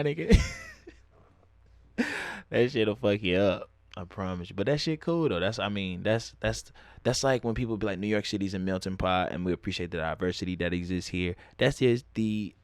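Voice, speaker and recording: A young man with an Atlanta Southern accent rambles through a casual story, swearing freely and laughing often. His voice sits low. The sound is dry and close, with no room around it. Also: no room sound. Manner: loose, profane, laughs often, drawls words out. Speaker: vlogger.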